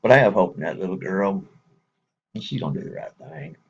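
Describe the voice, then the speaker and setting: A man with a thick Texas drawl talks to his viewers in a loose, folksy ramble, often breaking into a chuckle. His voice sits very low and gravelly, with a strongly husky, rough edge. The recording is muffled and lo-fi. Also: lively intonation, brisk. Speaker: man speaking to supporters. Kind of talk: casual appeal to viewers.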